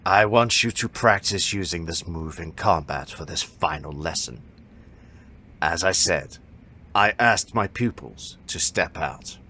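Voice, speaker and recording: A man playing a proud, stern martial arts master who instructs a pupil in formal, measured speech for an audio drama. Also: low-pitched, expressive, pushed and bright, exaggerated voice. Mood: solemn. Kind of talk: fan audio drama acting.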